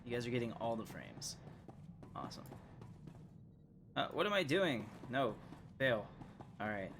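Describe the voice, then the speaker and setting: A man's voice: mid-range voice, big pitch swings, measured pace, fairly bright tone, a little hiss or hum. A man streaming a video game with casual, joking, rambling commentary.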